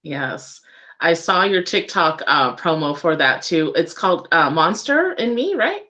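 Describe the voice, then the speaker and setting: A woman with a slightly low voice hosts a relaxed-format interview. She talks informally, like an everyday conversation, and responds readily to her guest. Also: measured pace. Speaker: radio show interviewer.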